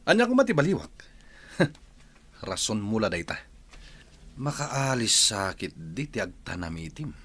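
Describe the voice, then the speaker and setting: A domineering, sneering husband in a radio drama. His Ilocano-accented taunts and scolding swing widely in pitch. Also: very animated, a little slow, long pauses, a bit bright, husky, full character voice.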